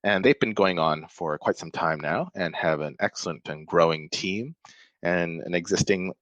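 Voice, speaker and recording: A man's voice: sits low, moderate pace, fairly bright tone, no room sound, muffled recording. A man from Malaysia, a podcast guest, explaining things thoughtfully in casual talk, often restarting his sentences. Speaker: podcast guest, software developer. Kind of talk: casual interview chat.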